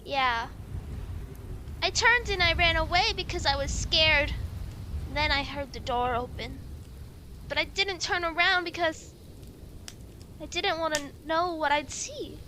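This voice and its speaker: A woman's voice: very high-pitched, a little slow, long pauses, sharp tone, full character voice. A woman playing a scared, hesitant girl witness in an amateur puppet show. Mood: frightened, hesitant. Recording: faint background noise.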